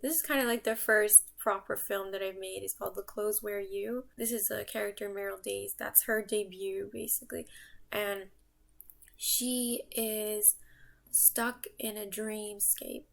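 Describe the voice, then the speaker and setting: A woman's voice: medium pitch, fairly even intonation, measured pace, gravelly, fairly bright tone. A young woman from the United States, an artist introducing herself in a casual vlog, talking in a reflective, thinking-aloud way. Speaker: artist and vlogger. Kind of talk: casual self-introduction to camera.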